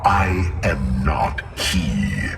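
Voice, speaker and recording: A man voicing a proud, thoughtful robotic alien warrior in a video game, with formal, deliberate diction. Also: extremely low pitch, sing-song, gravelly, over loud music, heavily acted. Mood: thoughtful, dignified. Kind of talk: dramatic video game acting.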